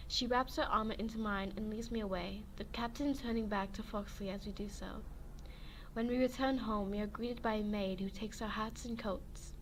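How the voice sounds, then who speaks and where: A woman's voice: medium pitch, fairly even intonation, moderate pace, a little hiss or hum, poor audio. A young woman reading an interactive romance story game aloud, playful and giggly, breaking off for jokes and corrections. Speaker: game narrator. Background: faint music.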